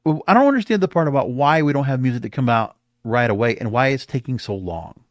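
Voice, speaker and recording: A man's voice: mid-range voice, animated, fast, no room sound. A man hosting a solo podcast, giving opinionated commentary in an emphatic, rambling way and often repeating himself. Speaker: podcast host.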